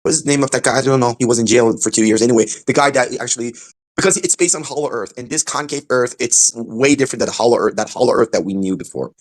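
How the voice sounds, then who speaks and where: Fast-talking man in a heated debate. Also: mid-range voice. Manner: fast, insistent, repeats himself. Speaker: debate participant.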